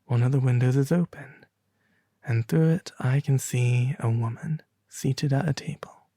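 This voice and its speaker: A man voicing a sorrowful, gentle statue of a prince, breathy and halting, in a soft storybook reading. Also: mid-range voice, no room sound, studio quality. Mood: sad, tender. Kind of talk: soft storybook character acting.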